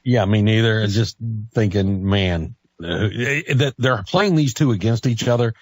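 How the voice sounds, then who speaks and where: Male American morning radio host, slightly low, husky voice, casual and chatty. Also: a little slow.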